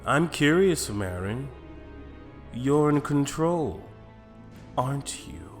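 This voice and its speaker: A man playing a calm, amused, scheming fighter in an anime fan drama, smug, deliberate and musing. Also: medium pitch, faint music behind.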